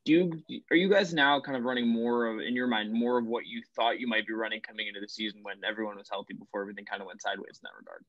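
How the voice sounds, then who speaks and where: A man's voice: on the low side, steady tone, racing, hardly any pauses, a bit bright, lo-fi sound. A man working as a sports reporter, asking long, winding questions at a press conference.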